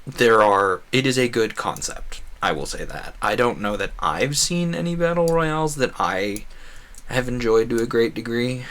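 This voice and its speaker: A man's voice: mid-range voice, lively intonation, moderate pace, a bit bright. A man doing casual gameplay commentary as a streamer, talking in a rambling, conversational way with frequent asides. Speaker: video game streamer.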